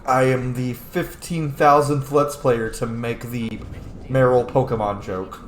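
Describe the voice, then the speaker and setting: A man's voice: mid-range voice, steady tone, unhurried. A man giving casual, chatty, musing commentary as he plays a video game. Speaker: let's player. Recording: roomy echo with faint background noise.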